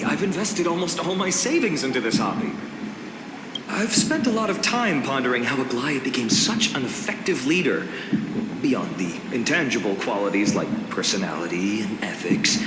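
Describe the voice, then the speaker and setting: A man playing an affable, bookish young man in a video game, chatty and playfully teasing, quick to correct himself. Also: mid-range voice, roomy sound, big-room sound, noisy background, lightly acted. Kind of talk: video game character acting.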